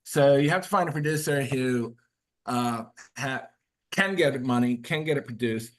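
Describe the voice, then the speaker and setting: A man's voice: medium pitch, moderate pace. Rambling man, casual webinar talk. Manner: rambling, frequent fillers and restarts. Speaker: guest author.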